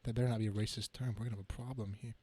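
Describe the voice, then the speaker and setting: A man's voice: fairly low pitch, fairly even intonation, rapid-fire, mellow. A man co-hosting a podcast, bantering casually and joking during a comic reading.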